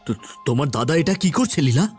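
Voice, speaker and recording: A man's voice: fairly high pitch, big pitch swings, brisk, darkish tone, soft background music. A man playing a stern, protective village father in a horror audio story, curt and indignant. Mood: alarmed.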